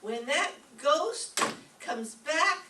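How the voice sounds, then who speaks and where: A woman's voice: high voice, lively intonation, drawn-out words, exaggerated voice. A woman playing a naive, timid, dim-witted young woman in an old-time radio comedy, speaking in a guileless way. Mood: admiring. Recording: echoey stage, distant mic, faint noise.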